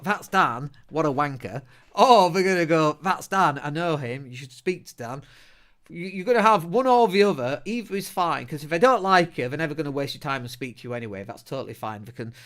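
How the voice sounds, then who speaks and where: A man's voice: fairly high pitch, a bit bright. Chatty Leeds man hosting a podcast. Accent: Northern English, Leeds. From England. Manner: chatty and blunt, with self-mocking humour. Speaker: podcast host. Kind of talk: casual solo podcast talk.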